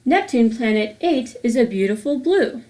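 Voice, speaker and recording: High-voiced woman reading aloud to children. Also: lively intonation, normal speed, mellow. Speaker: host of a children's read-aloud series. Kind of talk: children's picture book read-aloud.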